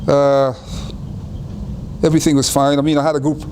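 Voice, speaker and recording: A man's voice: fairly high pitch, little pitch movement, a little mellow, a little hiss or hum. Older Guyana-born man recalling his childhood. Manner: unhurried, repeats and restarts phrases. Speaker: interviewee. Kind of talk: reflective life-story interview.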